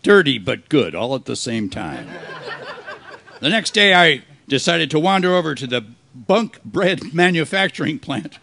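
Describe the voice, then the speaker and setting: A man playing a world-weary, wisecracking private detective in a hard-boiled radio play, delivering his lines deadpan. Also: fairly high pitch, unhurried, gravelly, exaggerated voice. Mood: wry narrating. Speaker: amateur actor in a live radio play. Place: live performance on a cruise ship.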